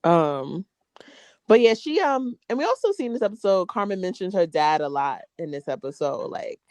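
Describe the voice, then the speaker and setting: A woman in her late twenties chatting on a podcast, expressive and laughing as she acts out her own thoughts. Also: fairly low pitch, normal speed, no echo. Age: late 20s. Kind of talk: casual podcast chat.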